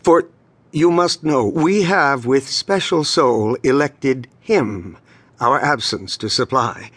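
An actor playing a solemn ruling duke in a Shakespearean stage drama, with formal, measured, oratorical delivery. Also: male voice, medium pitch, a bit bright, breathy edge. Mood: solemn, deliberate. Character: stately ruling duke, solemn, handing over power. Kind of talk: classical Shakespearean stage acting.

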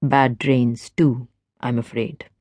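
A woman voicing a courteous man with a soft, honeyed tone and an unhurried, polite delivery for an audiobook novel. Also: a bass voice, steady tone, no room sound, voice pushed down, full character voice. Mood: gentle, courteous.

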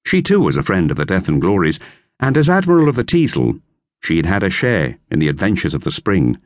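A man narrating a children's classic in a lively storytelling manner. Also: deep voice, brisk, no room sound. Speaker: audiobook narrator. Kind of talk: scripted narration. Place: recording studio.

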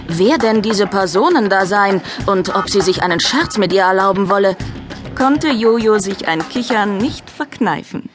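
A woman narrates a children's audiobook in a scripted storytelling style. Her voice is mid-pitched, with music clearly playing behind her.

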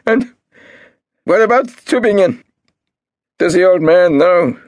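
A man voicing a desperate, scheming male businessman with a shrill, wheedling voice. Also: wildly swooping pitch, unhurried, vocal fry, breathy edge, no room sound, heavily acted. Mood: shrill angry.

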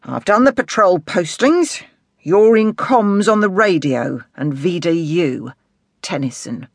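A man voicing a grumpy, condescending police sergeant in an audiobook, curt and gruff in manner. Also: sits high, animated, takes it slowly, no echo, studio quality, heavily acted. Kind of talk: audiobook character dialogue.

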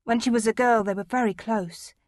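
A woman's voice: a little high, steady tone, fairly quick, a little mellow, no echo, clean studio sound, close to an everyday voice. A woman playing an earnest, questioning young schoolgirl in an audio drama. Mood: earnest, subdued. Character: earnest, puzzled young schoolgirl, great-granddaughter.

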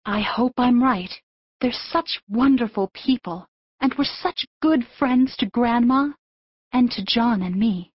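Husky, worried storybook mother. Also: fairly high pitch, takes it slowly, no room sound. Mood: hopeful, unsure. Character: worried, gently firm mother of two children. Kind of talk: full-cast audiobook acting. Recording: dull, narrow lo-fi audio.

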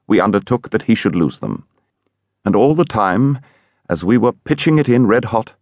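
A man playing an indignant English gentleman who recounts a horrible incident in a vivid, emphatic way, as audiobook storytelling. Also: medium pitch, dry recording, close to an everyday voice.

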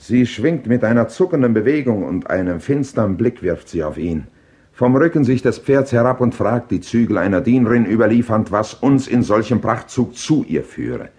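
An actor playing a proud Greek king and war leader who recounts battle in classical verse drama, declaimed with deliberate, rhetorical phrasing. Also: mid-range voice, lively intonation, a little mellow, natural voice. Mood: impassioned, rhetorical.

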